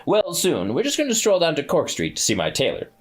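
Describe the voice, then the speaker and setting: Man playing a pompous self-assured archangel boss, husky, patronizing. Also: fairly high pitch, huge pitch swings, brisk, pitched above the performer's own voice. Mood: smug. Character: breezy, pompous male archangel official.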